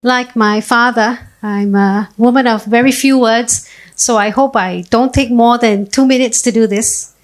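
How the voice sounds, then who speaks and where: Lady reading an award citation, formal and measured, slightly high voice. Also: steady tone. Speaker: citation presenter.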